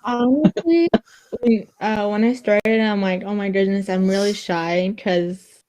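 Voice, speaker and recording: A woman with a slight Vietnamese accent, chatting casually as a streamer on a podcast, halting and restarting often with frequent giggles. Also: medium pitch, mellow, smooth, poor audio. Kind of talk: casual chat.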